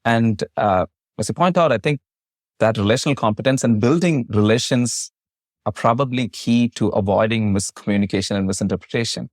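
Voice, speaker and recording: Measured male physician host, non-native English. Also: fairly low pitch, no echo, studio quality. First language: not English. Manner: measured, formal, long careful questions. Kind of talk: podcast interview, asking questions.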